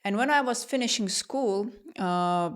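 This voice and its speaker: A woman's voice: mid-range voice, measured pace. A woman in her twenties from Lithuania, a native Lithuanian speaker, chatting as a podcast guest with many fillers. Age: 20s. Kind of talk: podcast interview.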